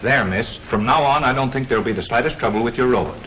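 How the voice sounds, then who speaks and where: A man playing a brisk, reassuring robot repairman in an old film. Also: mid-range voice, lightly acted. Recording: dull old film soundtrack with music.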